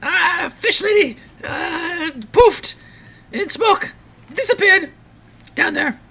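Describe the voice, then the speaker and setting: Tiny mischievous demon, piercing falsetto, bright, broken exclamations, tabletop game. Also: male voice, slow, gravelly, exaggerated voice. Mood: shrill, excitable. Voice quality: shrill falsetto. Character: squeaky invisible demon familiar, mischievous.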